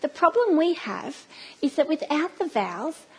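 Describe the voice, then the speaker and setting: A woman's voice: high-pitched, very animated, normal speed. A middle-aged Australian woman giving a sermon in a church, telling stories with playful asides. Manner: warm storytelling, playful asides. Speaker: preacher.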